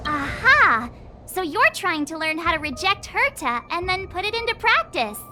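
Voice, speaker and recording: Game casting: self-assured, breezy teen girl adventurer, very high voice with lively swoops. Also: normal speed, faint music behind, heavily acted. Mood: surprised. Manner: chirpy, expressive, breezy. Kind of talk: anime-style video game voice acting.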